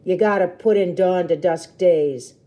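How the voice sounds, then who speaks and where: Woman as nasty male villain, storybook reading, mocking, sarcastic, emphatic, mellow. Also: lowish voice, measured pace, lo-fi sound, natural voice. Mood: sneering, sarcastic. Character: nasty, sneering villainous man, bullying and sarcastic.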